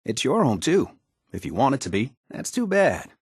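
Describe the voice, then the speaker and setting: A man's voice: medium pitch, big pitch swings, moderate pace, breathy edge, no room sound, clean studio sound, full character voice. An actor playing an easygoing, wry wasteland handyman in a video game, with a folksy, slangy way of talking. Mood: reflective. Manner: folksy, colloquial, drawling slang. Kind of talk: video game character dialogue.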